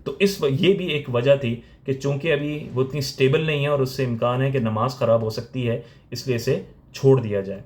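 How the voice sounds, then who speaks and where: A man's voice: medium pitch, fairly even intonation, moderate pace, few pauses, a little mellow. A man with a Pakistani accent explaining religious points step by step, like a teacher giving a lecture.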